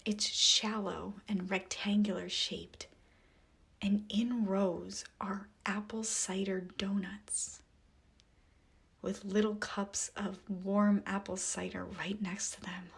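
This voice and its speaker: A woman narrating a guided meditation visualization in a soft, breathy, whispery voice, with a gentle, soothing manner and long pauses. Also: medium pitch, little pitch movement.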